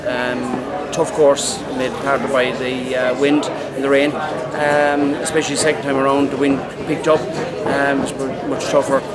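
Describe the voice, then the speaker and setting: A man, a race participant, speaking in a measured, halting way with many pauses in a street interview. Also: medium pitch, completely flat delivery. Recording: loud crowd behind.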